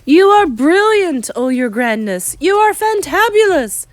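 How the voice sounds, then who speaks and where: A woman voicing excitable, fawning witches who cry out and chant fanatically in a children's audiobook. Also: measured pace, dry recording, exaggerated voice. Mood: fawning excitement. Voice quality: shrill. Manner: chanting, crying out in fervour.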